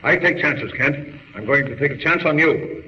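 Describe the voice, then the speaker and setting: Brusque, impatient American city editor, slightly high, 1940s radio serial, dull noisy sound. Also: male voice, expressive, normal speed, pushed and bright. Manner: blustery, brusque. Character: gruff, impatient male newspaper editor. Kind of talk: 1940s radio drama acting. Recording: muffled, reverberant old radio recording.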